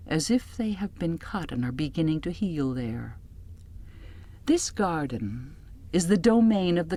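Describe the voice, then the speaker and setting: A woman reading first-person literary fiction as an audiobook narrator, in a measured way that drops softly at phrase ends. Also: deep voice.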